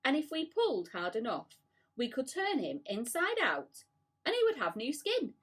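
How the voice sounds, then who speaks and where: A woman voicing a gloomy male earthworm in a storybook, eagerly and brightly relishing morbid ideas. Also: sits high, wildly swooping pitch, normal speed, breathy edge, pitched above the performer's own voice, exaggerated voice.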